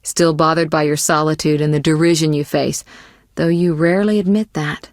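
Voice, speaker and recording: A woman playing a poised, worldly young sorceress in a video game, caring but teasing and possessive, with measured, articulate delivery. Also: low-pitched, fairly even intonation, dry recording, studio quality, close to an everyday voice. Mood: composed, gently chiding. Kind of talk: scripted video game voice acting.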